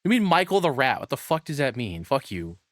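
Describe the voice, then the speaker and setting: A man's voice: medium pitch, very animated, fairly quick, dry recording, studio quality. A tired man casually commentating on a live stream as he reacts to a video, crude, profane and self-deprecating.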